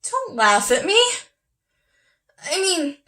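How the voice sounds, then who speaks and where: A woman's voice: extremely high pitch, very animated, slow pace, pushed and bright, exaggerated voice. A woman performing a stage monologue as a proud, wistful young Victorian housewife, earnest and confiding. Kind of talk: stage monologue from a classic play.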